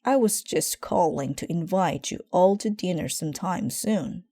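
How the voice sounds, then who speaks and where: A woman's voice: lowish voice, unhurried, mellow, dry recording. A woman voicing a kindly elderly grandmother bear in a children's storybook, solicitous and concerned. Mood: friendly.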